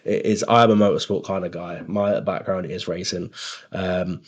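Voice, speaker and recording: A man's voice: sits low, fairly even intonation, moderate pace, lo-fi sound. A British man co-hosting a podcast in casual, blokey, sweary chat with a friend. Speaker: podcast co-host.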